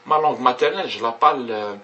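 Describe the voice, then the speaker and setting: A man's voice: mid-range voice, fast talker, bright, forceful tone, muffled recording. A man with Kabyle as his first language, talking casually to a webcam in a rambling way, with many hesitations and restarts. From Kabylie, Algeria. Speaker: vlogger. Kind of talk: casual webcam talk to viewers.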